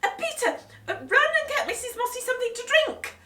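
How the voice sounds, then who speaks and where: A woman voicing a flustered, harried mother who sounds exasperated and shrill, in a storybook reading. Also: sing-song, unhurried, a bit bright, full character voice. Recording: echoey room, voice from a distance.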